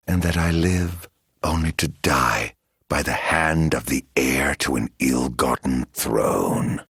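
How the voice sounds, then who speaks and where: An actor playing a tormented, vengeful immortal man turned monster in a video game, with grandiose, theatrical, old-fashioned delivery and a gravelly rasp. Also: rumbling low, bright, forceful tone, no room sound, clean studio sound, full character voice. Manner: grandiose, archaic wording, slow dramatic delivery.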